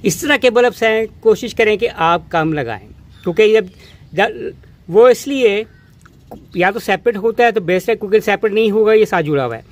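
High-voiced man teaching rooftop gardening. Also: animated, moderate pace. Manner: explains step by step, pointing at pots and bulbs; repeats phrases, many restarts and filler words. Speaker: home gardening channel host. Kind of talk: hands-on gardening demonstration. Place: rooftop garden among potted flowers, outdoors. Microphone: camera phone held close, narrator off screen.

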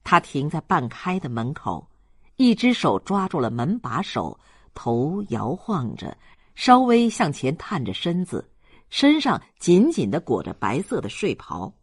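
An audiobook narrator reading a literary story in a measured, dramatic storytelling manner. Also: medium pitch, wildly swooping pitch, slightly rough, no echo, studio quality.

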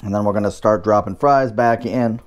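A man's voice: on the low side, moderate pace, lo-fi sound. A man hosting a home cooking show, talking through each step in a casual way with dry, wry asides.

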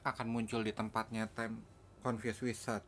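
A man's voice: lowish voice, flat delivery, normal speed, a bit bright. A man with an Indonesian accent giving casual commentary on a video game he is playing. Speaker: gaming video host. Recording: clear background noise, game ambience.

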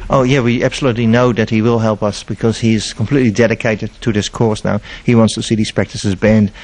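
A man's voice: on the low side, little pitch movement, fairly quick, a little mellow, a little hiss or hum, low-quality recording. A middle-aged man who directs an animal welfare campaign answers interview questions earnestly, indoors, into a handheld mic held by the interviewer, often repeating words as he thinks.

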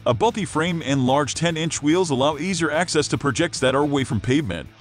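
A man reading a scripted product review voice-over in an upbeat sales-pitch manner. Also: expressive, a little fast, soft background music. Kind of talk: scripted promotional voice-over narration.

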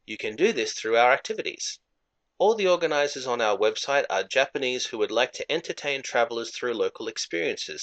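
A man's voice: medium pitch, fairly bright tone. A man narrating a travel promotion in an upbeat, persuasive scripted voice-over.

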